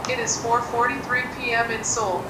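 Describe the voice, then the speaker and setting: A woman's voice: fairly high pitch, level tone, normal speed, close to an everyday voice. A synthetic woman's voice playing a small friendly robot car that repeats back what it hears. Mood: flat. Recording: echoey room, robot speaker off-mic, loud noise.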